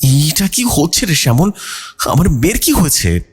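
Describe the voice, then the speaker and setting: A man's voice: on the high side, big pitch swings, normal speed, breathy edge, voice pushed up, heavily acted. A man voicing a worried, frightened mother in a horror story, asking anxious, pleading questions. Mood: distraught.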